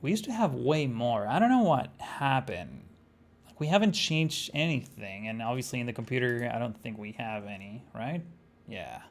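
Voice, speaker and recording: Man, Argentine accent, native Spanish speaker, gravelly voice, easygoing stream chatter, lots of ums and uhs. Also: mid-range voice, normal speed. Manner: relaxed, thinking aloud, many fillers. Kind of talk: live-streamed gameplay chat.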